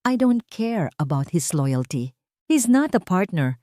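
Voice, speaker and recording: A woman's voice: on the low side, very animated, a little slow, warm tone, dry recording. Female narrator as ruthless billionaire boss, curt, commanding, dry storybook read. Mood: cold, commanding. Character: cold, ruthless male billionaire CEO. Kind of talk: storybook character dialogue.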